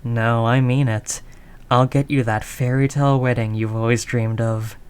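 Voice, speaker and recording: A woman playing a tough, doting mafia heiress girlfriend in an intimate audio roleplay, low-voiced, talking in affectionate slangy tough talk. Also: steady tone, moderate pace, darkish tone, clean tone. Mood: devoted, earnest.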